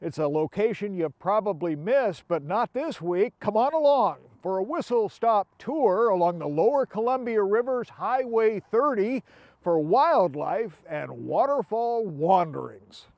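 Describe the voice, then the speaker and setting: A man's voice: sits high, expressive, unhurried, a little mellow, no echo. A middle-aged man hosting a travel show, narrating in an upbeat, folksy way, sometimes on camera outdoors. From Oregon. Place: outdoors in woods and by a roadside.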